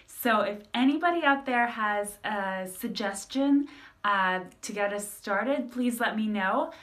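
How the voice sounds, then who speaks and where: An energetic woman opens her live improv stream with a bright, sharp voice. She speaks straight to her viewers in an upbeat way. Her pitch sits in the middle for a woman. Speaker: improv comedy show host.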